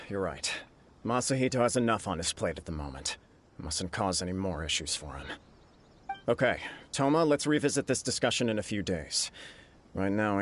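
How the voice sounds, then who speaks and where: A dutiful young samurai lord in a video game, with a fairly low voice. He speaks formally and earnestly in measured phrases, with music underneath. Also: a bit bright, slight background noise. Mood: resolute. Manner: formal, measured, self-reproaching. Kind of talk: video game dialogue acting.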